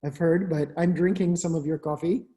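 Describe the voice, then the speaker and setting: Mellow-voiced webinar host. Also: medium pitch, fairly even intonation, normal speed. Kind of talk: webinar interview. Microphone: video call.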